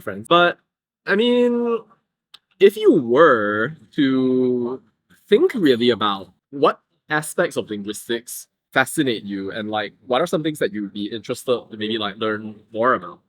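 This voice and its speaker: A male interview host asks his questions in an upbeat, chatty way, leaning on "like" and quick little reactions. His voice sits at an ordinary pitch. The sound is close and dry, with little room echo. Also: very animated. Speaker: podcast host. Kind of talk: casual interview conversation.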